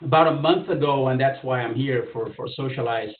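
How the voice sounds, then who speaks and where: A man's voice: mid-range voice, a little fast. A man from Brazil with a Brazilian Portuguese accent, introducing his startup on a virtual panel in a friendly, informal way. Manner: friendly, informal, with fillers and restarts. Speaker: startup founder. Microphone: video call. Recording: phone-like, roomy, far from mic.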